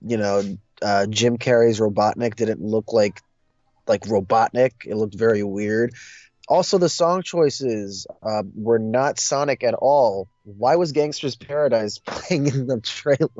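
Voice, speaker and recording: A man chatting casually as co-host of a movie discussion, hesitant, with many pauses and fillers. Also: fairly low pitch, a little mellow, dry recording. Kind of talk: casual chat. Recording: dull lo-fi audio, music bed. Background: music.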